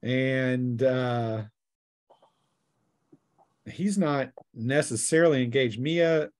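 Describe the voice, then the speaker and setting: A man's voice: mid-range voice, drawn-out words, a little mellow. A man narrating a tabletop role-playing game as game master in a casual, conversational way.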